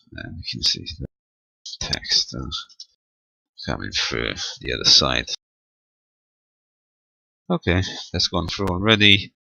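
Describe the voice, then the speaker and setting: A man's voice: low-pitched, creaky, sharp tone, dry recording, poor audio. A man giving an unscripted step-by-step technical demonstration, hesitant and thinking aloud as he goes. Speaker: amateur radio tutorial presenter.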